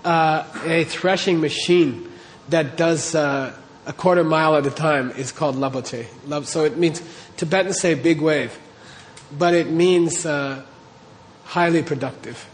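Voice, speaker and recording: A man's voice: fairly high pitch, measured pace, slight background noise. A man teaching a class, explaining terms step by step and checking in with his listeners. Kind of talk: classroom lecture on Tibetan texts.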